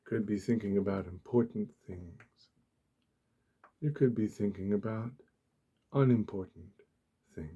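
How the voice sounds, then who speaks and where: A man speaking softly and deliberately, with long pauses, guiding a meditation in a gentle ASMR style. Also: fairly low pitch, breathy edge. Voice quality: soft, hushed. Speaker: ASMR host, meditation guide. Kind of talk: soft-spoken ASMR guided meditation.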